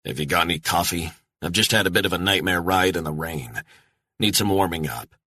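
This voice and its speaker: A man's voice: low voice, a little fast, pushed and bright, dry recording, clean studio sound. A man voicing a guarded American male operative with an American accent, talking tersely and laconically.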